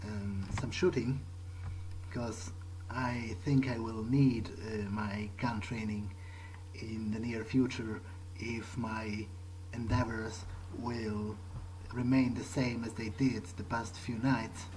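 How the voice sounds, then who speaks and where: A man's voice: fairly low pitch, steady tone, takes it slowly, darkish tone, slight background noise, poor audio. A man narrating a video game playthrough in character, thinking aloud in a hesitant, musing way. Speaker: gamer playing in character. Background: music.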